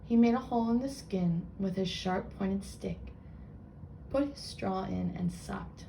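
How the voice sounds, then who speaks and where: A woman reading a children's book aloud with expressive narration. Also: mid-range voice, measured pace, slight background noise, rough recording.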